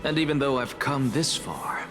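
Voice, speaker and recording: An actor playing a dying, proud young scholar in a video game, blunt, skeptical and sardonic in manner. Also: male voice, mid-range voice, lively intonation, moderate pace, lightly acted. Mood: dry, irritated. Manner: dry, haughty, sardonic. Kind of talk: video game story acting. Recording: game music behind the voice.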